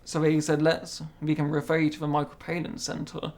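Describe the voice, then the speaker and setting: A young man with a stammer answers interview questions in a careful, measured way, sometimes repeating words. His voice sits fairly high for a man with a gravelly edge. He keeps a flat, steady tone throughout. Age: young adult. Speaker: interviewee, apprentice. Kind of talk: interview conversation.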